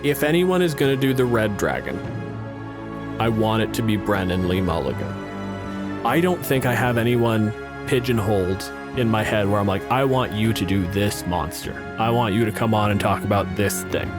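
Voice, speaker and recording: A man's voice: fairly low pitch, expressive, normal speed, music in the background. A man chatting casually and frankly as a podcast co-host and game master, swearing freely.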